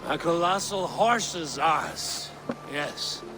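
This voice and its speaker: An actor playing a bold, blunt, hard-drinking Norse warrior in a video game, boastful and declaiming. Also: male voice, a little high, animated, extremely slow, music in the background. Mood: teasing. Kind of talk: dramatic video game acting.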